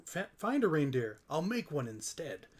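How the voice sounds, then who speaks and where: A man's voice: fairly high pitch, very animated, moderate pace. Man reading as a sour, scheming old holiday grouch. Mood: scheming, gleeful. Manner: snarling, sneering, gleeful scheming. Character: sour, scheming old Grinch who hates Christmas.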